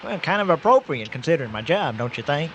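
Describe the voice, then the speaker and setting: An actor playing an excitable, kindly country truck driver with a folksy, stammering manner, in a radio comedy drama. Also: male voice, a little high, quick pace, dull audio. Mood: folksy, chatty. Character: excitable, kindly rural manure hauler, folksy and plain-spoken. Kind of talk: old-time style radio comedy drama acting. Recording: noisy radio drama track with ambience.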